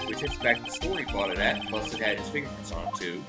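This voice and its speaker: A woman voicing a gruff, earnest, forgetful male police detective with a blustering, folksy manner in a video game. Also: very deep voice, animated, quick pace, voice pushed down. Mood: confident. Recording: game music behind the voice.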